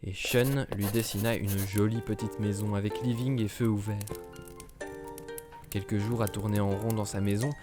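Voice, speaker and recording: A man narrating a children's storybook cartoon in a lively, rhythmic reading. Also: on the low side, moderate pace. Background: children's music.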